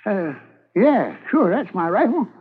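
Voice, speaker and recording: Stammering backwoods trapper, high lively voice, 1950s radio drama. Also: male voice, a little slow, slightly rough, exaggerated voice. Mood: jittery. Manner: nervous stammering with many uh and repeats. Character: jittery backwoods settler man. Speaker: settler and trapper. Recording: tinny 1950s radio transcription.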